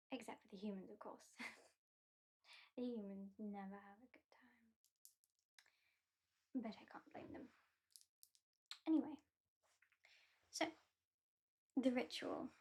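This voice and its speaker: A British woman voicing a haughty, mocking female vampire in an ASMR roleplay, soft-spoken and often whispering. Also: fairly high pitch, husky, heavily acted. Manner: soft-spoken, drifting into whispers, dryly sardonic. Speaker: ASMR performer.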